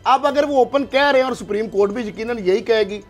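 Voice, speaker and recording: A man's voice: very high voice, fast, soft background music. A man with a Pakistani accent in a political discussion, speaking emphatically and argumentatively with rhetorical questions. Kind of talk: heated political discussion, interjecting.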